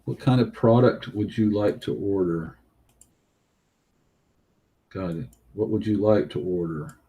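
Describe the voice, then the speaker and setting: A man's voice: fairly low pitch, unhurried, dark tone. A man with a Southern US accent hosting a study group, walking through a coding lab while thinking aloud. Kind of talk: live coding walkthrough.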